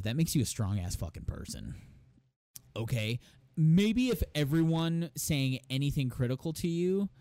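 A young man with a phlegmy, worn voice rants about work in a casual chat. His tone is dark and mellow. He swears freely as he talks, and the sound is dry with no room echo. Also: mid-range voice. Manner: heated, profane storytelling.

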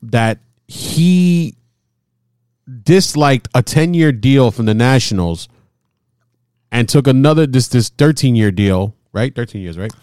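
A man in his forties hosting a casual baseball chat, excitable and often repeating himself. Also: mid-range voice, slow pace, clean tone, no room sound, studio quality. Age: 40s. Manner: excitable, repeats himself, stumbles over words. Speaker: host. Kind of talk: casual sports talk.

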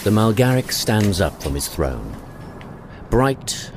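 A male narrator with a very low voice reads scripted audio drama scenes. His pitch moves in a lively way, and there is faint ambient noise behind him.

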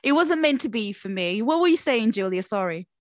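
A woman's voice: on the high side, lively intonation, fairly quick, dry recording, lo-fi sound. Chatty woman podcast host from Portugal. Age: 30s. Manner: chatty, rambling with many fillers. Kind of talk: casual chat.

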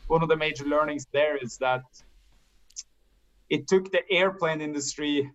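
A man in his forties, a podcast guest telling his story in a thoughtful, measured way. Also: fairly high pitch, fairly bright tone, highs cut off. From Norway. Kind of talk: casual interview answers.

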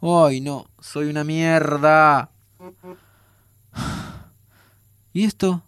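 An anxious young Argentine time traveler, agitated and hesitant, in an audio drama. His voice is on the high side and recorded dry and close. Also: steady tone, takes it slowly, a bit bright, clean tone, no echo. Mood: distressed, guilty. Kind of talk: naturalistic audio drama acting.

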